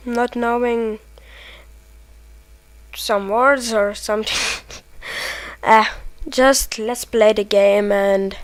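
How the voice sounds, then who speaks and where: A woman from Lithuania with a Lithuanian accent, casually commenting while she plays a video game and reacting excitedly to it. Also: fairly high pitch, dry recording. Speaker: let's play gamer.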